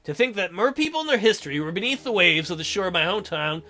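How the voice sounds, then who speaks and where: A man's voice: high-pitched, lively intonation, quick pace, pushed and bright, faint music behind. A man reading a visual novel aloud as a gaming host, breaking in with crude, mocking jokes. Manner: irreverent, crude joking, mocking. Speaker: gaming video host, let's player.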